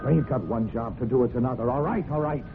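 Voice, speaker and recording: An actor playing a surly, resentful, half-drunk horse farm trainer, muttering in folksy, ungrammatical speech in an old radio drama. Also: male voice, mid-range voice, fast talker, music in the background, close to an everyday voice. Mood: grumbling. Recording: noisy, phone-like old radio recording.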